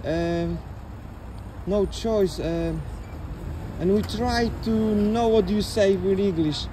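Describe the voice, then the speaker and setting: Polish man in halting English, street noise. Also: high voice. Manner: halting, broken English, hesitant. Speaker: street interviewer. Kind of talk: casual street interview. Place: outdoors by a busy street. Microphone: phone in hand. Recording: phone audio with loud traffic noise.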